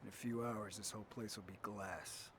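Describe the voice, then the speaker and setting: An actor playing a calm, calculating military insider in a video game, giving terse, commanding instructions. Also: male voice, fairly low pitch, moderate pace, background noise, close to an everyday voice. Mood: grave, persuasive. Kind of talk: video game cutscene acting. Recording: loud game music behind voice. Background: loud music.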